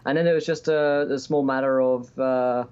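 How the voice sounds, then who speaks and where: Over a slightly muffled, lo-fi call, a man in his early twenties chats casually. His voice sits at a middling pitch, and he is wry and self-deprecating. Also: steady tone, moderate pace, a little mellow, clean tone. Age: early 20s. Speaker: podcast guest, game master. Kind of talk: casual chat. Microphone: remote call. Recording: dull lo-fi remote call.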